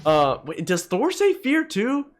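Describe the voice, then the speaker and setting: A fairly high-voiced man hosts a casual gaming ranking video, with faint music behind him. He talks bluntly and loosely in bro-heavy slang, giving his opinions straight to the viewers. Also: huge pitch swings, a little slow.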